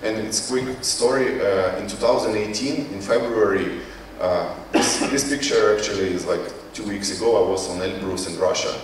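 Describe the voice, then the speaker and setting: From far off in a very echoey hall with a faint bed of noise comes a man's slightly low voice. He has a Ukrainian accent and speaks non-native English. He presents informally, haltingly, often searching for the right word. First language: not English. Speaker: conference speaker, company CEO. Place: conference hall.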